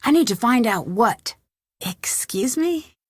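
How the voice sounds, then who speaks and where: An inquisitive young female detective talks to herself through a mystery game. Her voice sits fairly high and moves in very lively contours, earnest and level-headed. The recording is close and dry. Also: slow, no echo. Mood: alarmed. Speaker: video game protagonist. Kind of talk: game voice lines, thinking aloud.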